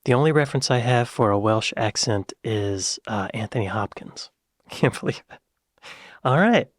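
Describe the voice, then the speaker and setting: American guy reacting, excitable then muttering, dry close mic. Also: medium pitch, moderate pace, dry recording. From United States. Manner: chatty, excitable, drops to muttered asides. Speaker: reaction video host. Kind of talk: casual reaction commentary.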